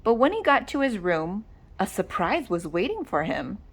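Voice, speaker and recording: A woman reading a children's story aloud with dramatic, deliberate expression. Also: medium pitch, very animated, breathy edge. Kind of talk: animated read-aloud narration.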